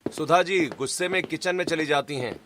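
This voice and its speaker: A male narrator reads a scripted cartoon story. His voice is high for a man, and faint music plays behind it. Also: fairly quick.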